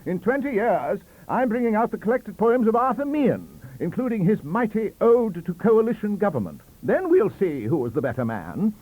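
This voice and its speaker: A man voicing an excitable, boastful professor who blusters and stammers emphatically in an audiobook story. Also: high-pitched, normal speed, hardly any pauses, voice pushed up, low-quality recording. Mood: blustering, defiant. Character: excitable, boastful male Canadian professors squabbling loudly.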